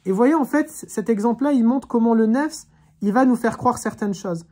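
A woman's voice: a little high, moderate pace, dark tone, husky. A woman teaching an online religious class over a video call, speaking in an earnest, reflective way and urging her listeners on. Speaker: Quran teacher.

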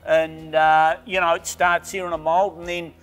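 A man's voice: a little high, flat delivery, moderate pace, a bit bright. A middle-aged Australian man who owns a boatbuilding firm, answering interview questions enthusiastically with many restarts and fillers. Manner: enthusiastic, rambling with restarts and "you know". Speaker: boatbuilding company owner. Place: boat factory workshop. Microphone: lapel mic. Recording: faint background music.

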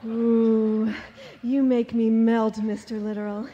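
A woman's voice: a little high, little pitch movement, slow, dark tone, big-room sound, highs cut off. An actress playing a lovestruck young woman who gushes vaguely and swoons in a radio comedy sketch. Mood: swooning. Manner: breathy, gushing, vague.

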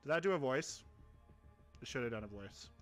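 A man's voice: mid-range voice, lively intonation, normal speed, soft background music. Chatty young man streaming a game. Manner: chatty, rambling, laughs at himself. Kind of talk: casual live-stream chat. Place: home streaming room. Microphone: headset.

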